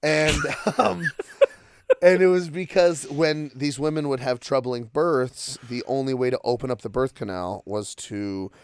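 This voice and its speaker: A man's voice: medium pitch, a little slow, clear, resonant tone. A young man co-hosting a casual podcast, joking crudely and laughing as he tells stories and facts. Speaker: podcast co-host. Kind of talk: casual chat.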